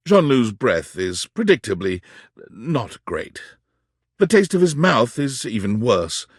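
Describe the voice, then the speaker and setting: An actor playing a wry, dramatic video game narrator, reading scripted narration in a husky voice. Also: male voice, fairly low pitch, dry recording, clean studio sound. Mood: wry, deadpan.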